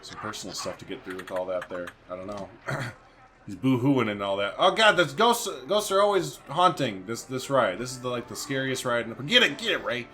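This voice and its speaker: A man's voice: medium pitch, expressive, a little fast, sharp tone, a little hiss or hum. A man hosting a gaming video, giving casual, slangy let's play commentary and thinking aloud.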